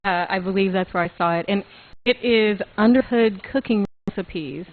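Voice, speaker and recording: A woman's voice: mid-range voice, normal speed, dry recording. A woman co-hosting a casual radio chat, sounding chatty and amused. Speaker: radio show co-host. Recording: narrow phone-like radio sound.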